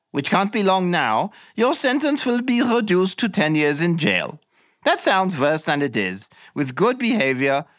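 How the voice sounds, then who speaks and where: A smug, oily military interrogator who coaxes and menaces in a high, lilting voice, as a man puts him on. His tone swings widely with false friendliness. The sound is close and dry. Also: fairly bright tone, no room sound, exaggerated voice. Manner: coaxing then threatening. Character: smug wheedling military examining officer, menacing.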